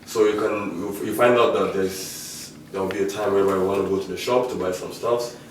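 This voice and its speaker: A man's voice: deep voice, steady tone, brisk, slight background noise. A young man from Nigeria explaining things steadily, with a habit of saying "you know". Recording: very echoey room, distant mic.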